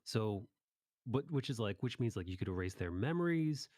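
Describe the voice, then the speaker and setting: Low-voiced man in casual, dry-sounding chat. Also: expressive, moderate pace, a little mellow, no echo. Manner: informal, profane, rambling recaps. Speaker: podcast host. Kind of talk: casual chat about anime.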